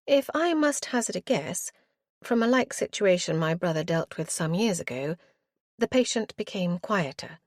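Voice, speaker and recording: A woman voicing a brisk, tart married lady of the Regency era who speaks with crisp formality. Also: on the low side, expressive, a little mellow, no room sound, studio quality.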